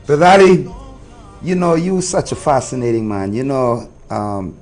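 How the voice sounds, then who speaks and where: A man's voice: medium pitch, sing-song, moderate pace, a little mellow, faint music behind. A man hosting a talk show, speaking earnestly and murmuring agreement as his guest talks. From Bahamas. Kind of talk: casual interview conversation.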